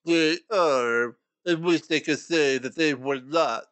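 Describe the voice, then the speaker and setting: A man voicing a polite middle-aged gentleman collecting for charity, formal and courteous. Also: on the high side, slow pace, no echo. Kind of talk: storybook reading.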